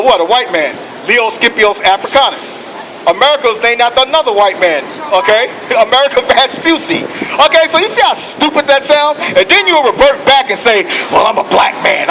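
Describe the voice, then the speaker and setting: A high-voiced man preaches on a busy city street, fiery and profane. He keeps pushing his listeners with "okay" and "come on". Traffic rumbles loudly around him. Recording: loud street noise outdoors.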